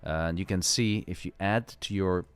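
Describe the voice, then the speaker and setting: A man's voice: sits low, moderate pace, clean tone. A man hosting a live trading stream, chatting casually, swearing freely and explaining the market at length.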